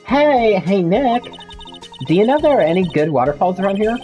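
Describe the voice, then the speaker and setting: A man voicing a bubbly, naive young female spirit medium sidekick in a video game, with an excitable, dramatic manner. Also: high-pitched, moderate pace, soft background music, voice pushed up. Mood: eager.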